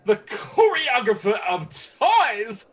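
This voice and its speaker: A woman's voice: on the high side, very animated, slow pace. A woman playing a melodramatic, self-important choreographer with a theatrical, grand delivery in a comic audio drama. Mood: rousing, showy. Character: melodramatic, flamboyant, self-important showbiz choreographer named Milford. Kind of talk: scripted audio comedy drama acting.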